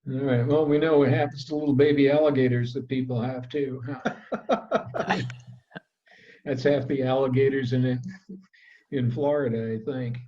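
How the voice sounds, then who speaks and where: A man hosting an online club meeting in a relaxed, chatty way, with plenty of fillers. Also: mid-range voice, moderate pace, pauses often. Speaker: meeting host. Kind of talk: casual meeting wrap-up. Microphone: video call. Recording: dull lo-fi call audio.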